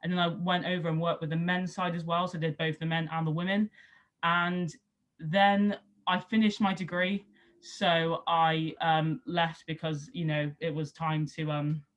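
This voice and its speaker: A woman's voice: little pitch movement, moderate pace. A woman in her twenties with a Somerset accent, telling her story in a casual, rambling way with lots of ums and likes. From Somerset, England. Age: 20s. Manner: chatty, many ums and likes, acts out quoted people in a higher voice. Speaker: rugby league player. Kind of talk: casual interview answers.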